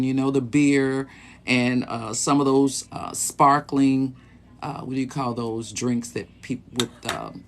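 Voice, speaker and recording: Easygoing man in his late fifties, rambling. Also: mid-range voice. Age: 50s. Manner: easygoing and rambling, with many ums and restarts. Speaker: sexologist hosting a live stream. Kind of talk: casual live-stream chat answering viewer questions. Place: small bedroom closet.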